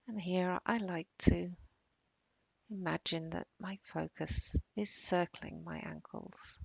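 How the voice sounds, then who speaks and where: Female meditation guide: soothing, very slow delivery, soft breathy endings, close dry sound. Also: fairly low pitch, dry recording, poor audio. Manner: calm, soothing, unhurried with long pauses. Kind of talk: guided body-scan meditation.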